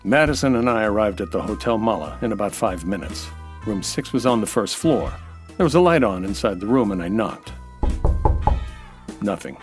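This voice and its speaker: A man with an American accent playing a world-weary, hardboiled nightclub owner narrating and talking in deadpan 1940s radio noir style. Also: fairly low pitch, moderate pace, over music, natural voice. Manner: dry, wry, deadpan.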